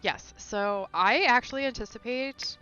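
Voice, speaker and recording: A woman's voice: on the high side, measured pace, sharp tone, clean tone, lo-fi sound, soft background music. A woman chatting casually and thinking aloud while streaming a video game from her room at home. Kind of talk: casual live gameplay chat.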